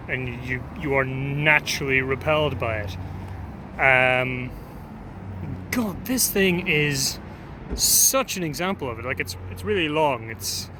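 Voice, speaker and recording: A man with an Irish accent rambling hesitantly through a casual travel vlog outdoors on a city street. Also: medium pitch. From Ireland. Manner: rambling, hesitant, many ums. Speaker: vlogger. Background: urban street noise.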